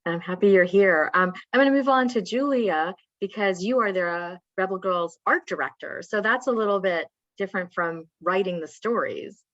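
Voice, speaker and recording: A woman's voice: medium pitch, moderate pace, hardly any pauses, a bit bright, no echo. A woman casually hosting a panel interview, heard through dull, lo-fi audio. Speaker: interview host.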